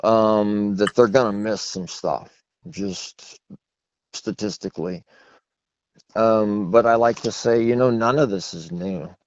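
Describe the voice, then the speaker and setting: A man's voice: takes it slowly, no room sound. A man being interviewed by phone as an author, talking in a long-winded, anecdotal, self-deprecating way. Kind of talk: rambling phone interview conversation. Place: phone call. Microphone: telephone. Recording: muffled low-fidelity phone line.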